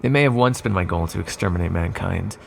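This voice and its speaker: A man voicing an ancient, imprisoned villain in an ASMR roleplay, condescending yet lonely and flirtatious, with smug, articulate, softly teasing delivery. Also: fast talker, a little hiss or hum. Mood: wistful. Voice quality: deep, gravelly, husky. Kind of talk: ASMR roleplay monologue to the listener.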